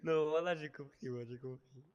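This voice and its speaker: Man, high-pitched, casual slangy roleplay banter, pushy and repetitive delivery. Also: moderate pace, darkish tone, lo-fi sound. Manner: insistent, repeats phrases. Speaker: player roleplaying a car dealership worker.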